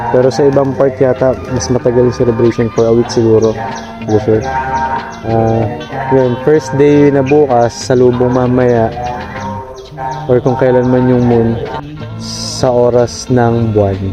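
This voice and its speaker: A man from the Philippines with a Filipino accent, vlogging in a relaxed, hesitant way and laughing easily. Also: mid-range voice, normal speed, over music. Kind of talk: casual vlog narration and chat.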